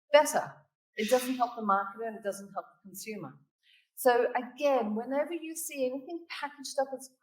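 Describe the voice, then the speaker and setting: A woman from Australia with a mixed Australian and British accent, giving a conference talk and telling stories that she tags with "right?" and "okay?". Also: a little high. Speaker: conference speaker. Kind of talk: keynote presentation. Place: conference hall. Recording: echoey hall, voice from a distance.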